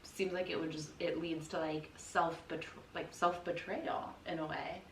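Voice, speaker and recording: A woman's voice: deep voice, flat delivery, measured pace. An American woman co-hosting a casual podcast conversation, asking questions and reflecting in an informal, empathetic way. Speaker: podcast co-host, interviewer. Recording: echoey room, distant mic, faint noise.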